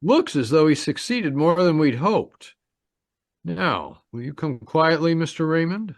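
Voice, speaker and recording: A man's voice: on the high side, lively intonation, unhurried, dry recording, clean studio sound. A man acting a stern, formal homicide lieutenant in an old-time radio drama. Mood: matter-of-fact, firm. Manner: formal, authoritative. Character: stern homicide lieutenant, masterminding a trick confession.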